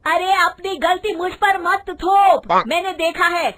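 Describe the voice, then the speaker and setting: A woman's voice: extremely high pitch, slow pace, fairly bright tone, pitched above the performer's own voice, full character voice. A woman voicing a short-tempered mother-in-law in a storybook tale, scolding with indignant sarcasm. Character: sharp-tongued, easily angered mother-in-law, dhaba cook. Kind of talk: animated Hindi storybook voice acting.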